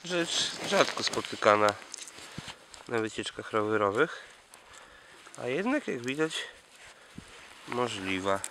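A man's voice: mid-range voice, sing-song, slow, long pauses. A man narrating a trip casually to camera, with a wry, mock-formal manner. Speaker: expedition cyclist. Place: open outdoors on a frozen lake. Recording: outdoors with loud noise and clicks.

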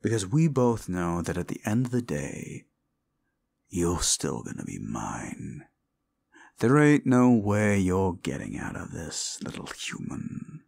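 A man's voice: low voice, sing-song, takes it slowly, gravelly, a little mellow, full character voice. A man voicing a cruel, condescending demon in an intimate audio roleplay, taunting the listener with drawling sarcasm. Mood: menacing, gloating. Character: condescending male demon, gleefully cruel and mocking.

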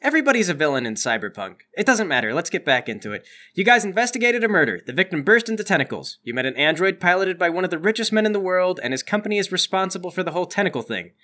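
A man's voice: sits high, very animated, quick pace, few pauses, bright, forceful tone. A man acting as game master, narrating a tabletop game and bantering with the players.

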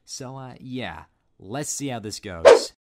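A man's voice: mid-range voice, lively intonation, slow pace, a little mellow. A young man narrating as a YouTuber in a casual storytelling commentary style.

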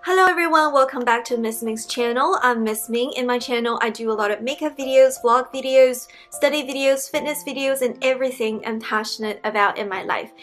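A woman's voice: on the high side, brisk, few pauses, a bit bright, soft background music. A young woman from Beijing with a Mandarin Chinese accent, talking casually to camera as an English teacher, explaining in a friendly way with frequent ums and restarts, recorded in a small indoor room. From Beijing, China. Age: young adult. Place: small indoor room with wood-panelled wall.

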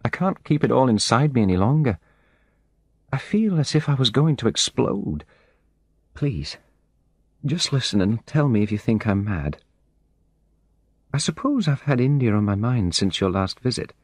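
Man voicing a distraught, shaky middle-aged woman, pitched high. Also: sing-song, moderate pace, long pauses, darkish tone, breathy edge, no room sound, studio quality. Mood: anxious, confiding. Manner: emotional, confessional, pleading.

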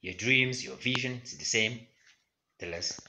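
A man's voice: mid-range voice, unhurried, pushed and bright, slight background noise, poor audio. A man interviewing a guest who prompts him, finishes his sentences and repeats his answers back. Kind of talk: interview questions.

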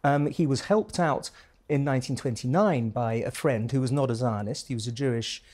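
Male historian guest, warm mellow tone, careful and hesitant. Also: medium pitch. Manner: measured, hesitant, with many ums. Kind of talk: interview answers.

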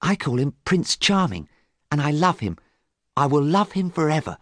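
A man's voice: a little high, big pitch swings, normal speed, fairly bright tone, no echo, voice pushed up. A man voicing a romantic, hopeful young actress in an audiobook, in a sweet, gushing manner. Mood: dreamy, hopeful.

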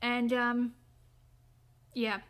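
Slow-talking amateur voice actress, highish voice. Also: female voice, little pitch movement, fairly bright tone. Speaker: amateur voice actor. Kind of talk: casual recorded chat between takes.

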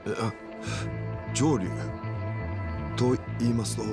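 A man's voice: mid-range voice, takes it slowly, over loud music, natural voice. An actor with a Kansai accent playing a middle-aged yakuza in a video game, careful, humble and hesitant before his boss. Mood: wary, humble. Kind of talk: cinematic video game acting.

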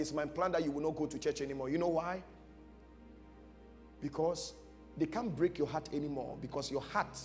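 Male pastor, higher-pitched, emphatic sermon storyteller in a reverberant hall. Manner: emphatic, repeats short phrases, acts out dialogue. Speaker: pastor preaching. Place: large church stage before a seated audience. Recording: music behind, long hall reverb.

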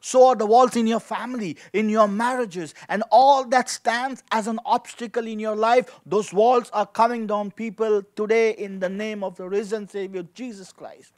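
Middle-aged male pastor, high-pitched voice, emphatic sermon delivery, repeated key phrases. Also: fairly even intonation, normal speed, few pauses. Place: indoor studio with dark backdrop, at a lectern. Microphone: handheld microphone.

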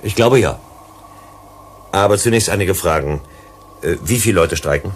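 An actor in a German radio play, playing a deliberate, probing troubleshooter who questions and lectures. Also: male voice, deep voice, animated, a little hiss or hum. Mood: probing, insistent. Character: probing, unhurried male troubleshooter questioning colony bosses.

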